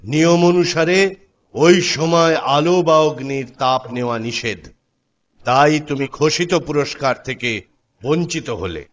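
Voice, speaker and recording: A stern, questioning king from a cartoon, acted by a man, formal and commanding. His voice sits fairly high for a man. The soundtrack is a little dull. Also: measured pace, lightly acted. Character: stern, questioning adult Indian king holding court. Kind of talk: cartoon voice acting.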